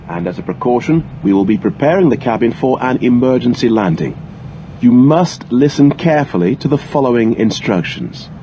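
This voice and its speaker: Muffled male cabin announcer, lively intonation. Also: mid-range voice. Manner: formal, clearly instructional. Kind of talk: scripted cabin safety announcement, read aloud. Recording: muffled lo-fi audio with engine-like cabin noise.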